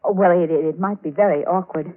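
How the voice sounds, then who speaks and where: An actress playing a nervous, secretive young niece in a vintage radio thriller, polite and hesitant. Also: female voice, on the low side, fast, lightly acted. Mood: nervous, evasive. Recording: tinny, noisy old radio recording.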